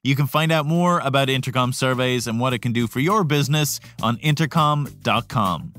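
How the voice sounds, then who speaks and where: A man's voice: medium pitch, brisk, fairly bright tone, dry recording, soft background music. A man hosting a podcast, delivering scripted narration. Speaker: podcast host.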